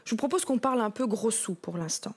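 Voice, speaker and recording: A journalist moderating a televised debate in a measured, polite way. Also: female voice, a little high, animated, over a noisy crowd.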